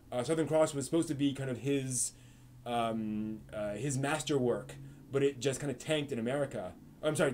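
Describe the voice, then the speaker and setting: A man hosting a casual anime live stream, telling stories in a rambling way with many fillers and restarts. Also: mid-range voice. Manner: animated storyteller. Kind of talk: casual chat with chat readers.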